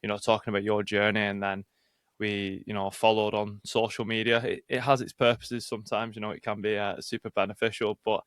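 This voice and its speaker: A man hosting a podcast interview, speaking in a halting way with many restarts. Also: fairly low pitch, flat delivery, a bit bright, dry recording. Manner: halting, repeats words and restarts. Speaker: podcast host, personal trainer. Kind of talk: casual interview chat.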